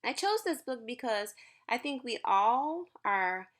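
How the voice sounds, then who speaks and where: Slow, expressive woman reading to children. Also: a little high. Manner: encouraging, reassuring, addressing young children. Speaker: school counselor reading aloud to children. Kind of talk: children's picture book read-aloud with explanatory asides.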